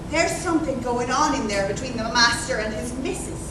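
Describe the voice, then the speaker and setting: A woman playing a chatty, nosy, excitable housemaid with gossipy, colloquial servant talk in a 1930s radio drama. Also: fairly high pitch, brisk, natural voice. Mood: nosy, excited. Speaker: actor. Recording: distant, very echoey, noisy live room.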